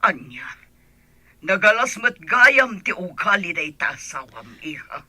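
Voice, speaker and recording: Husky, piercing older mother. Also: fairly low pitch, sing-song, unhurried, sharp tone, no room sound, full character voice. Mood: concerned. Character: concerned older mother of a grown daughter. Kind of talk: melodramatic radio drama acting.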